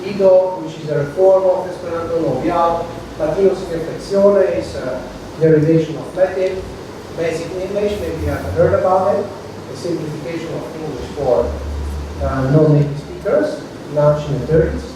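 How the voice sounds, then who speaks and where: A man's voice: fairly high pitch, normal speed, noisy background. Italian man lecturing in an echoey hall. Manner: explanatory, checks in with "okay?", frequent restarts. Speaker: university lecturer. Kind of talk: academic lecture to a seminar audience. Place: university lecture room. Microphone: distant from the recording mic. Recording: very echoey room recorded from far off.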